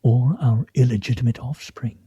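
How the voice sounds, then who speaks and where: A man's voice: mid-range voice, fairly even intonation, moderate pace, mellow, breathy edge, no room sound, muffled recording. A man playing a wry, theatrical husband in a comic radio play, speaking in a pompous, mock-formal way. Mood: hushed, conspiratorial. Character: wry, theatrical, teasing husband with grandiose fantasies. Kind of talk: stage radio play comedy acting.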